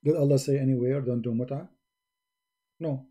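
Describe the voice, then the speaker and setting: A man's voice: mid-range voice, steady tone, moderate pace, warm tone. A man hosting a live call-in debate, pressing a caller with repeated questions. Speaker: debate host.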